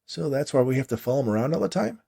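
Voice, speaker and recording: A man's voice: medium pitch, expressive, racing, vocal fry, mellow, slightly rough, pitched above the performer's own voice. A man voicing a curious ex-prison guard, casual and questioning, in a story reading. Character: curious ex-prison guard, prospective new hire.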